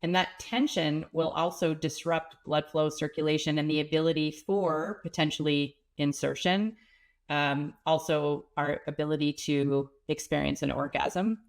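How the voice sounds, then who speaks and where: A woman with a cold and a slightly stuffy, nasal voice, a pelvic health expert calmly explaining things as an interview guest. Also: low voice, unhurried. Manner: earnest, explanatory, some restarts. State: has a cold, sniffling.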